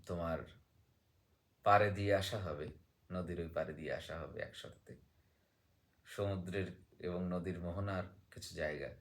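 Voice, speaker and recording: A man telling folk tales in a conversational way, pausing often with fillers and small check-in questions. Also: low-pitched, fairly bright tone.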